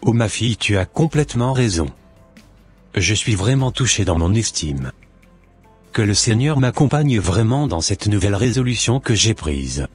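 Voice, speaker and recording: Very deep-voiced, earnest father played by a man. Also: big pitch swings, normal speed, breathy edge, faint music behind. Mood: earnest, moved. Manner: earnest, solemn. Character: repentant, earnest father, a humble churchgoing working man.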